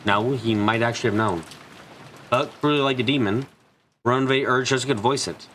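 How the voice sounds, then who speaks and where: A man's voice: mid-range voice, normal speed, a bit bright, slight background noise. A man reading story narration aloud as a live dub, with occasional slips as he reads. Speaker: streamer reading a visual novel. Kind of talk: live translated narration and dubbing. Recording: music behind. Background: game music.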